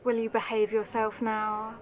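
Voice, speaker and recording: A woman's voice: a little high, monotone, a little slow, lightly acted. A woman playing an aristocratic elder vampire in an ASMR audio roleplay, composed and commanding, with formal, condescending poise. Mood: firm, chiding.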